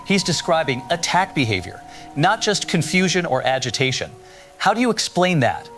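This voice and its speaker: A man's voice: on the high side, very animated, normal speed, a bit bright, soft background music. A man playing a concerned radio news host in an audio drama, courteous and earnest as he questions people. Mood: pressing. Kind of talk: live call-in news show in an audio drama.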